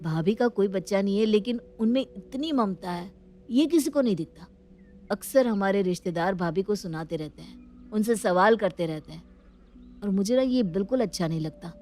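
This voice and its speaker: A woman's voice: medium pitch, lively intonation, normal speed, a little mellow, a little hiss or hum, natural voice. A woman acting an earnest, anxious young family man.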